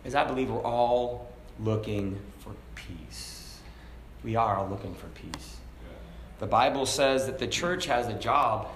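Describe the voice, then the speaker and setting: A man preaching a sermon to a congregation, talking casually and then building to loud, fervent shouts. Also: medium pitch, a little slow, a little hiss or hum. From Hong Kong. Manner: conversational preaching, rising to shouts. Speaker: pastor. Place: church sanctuary. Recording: echoey church room.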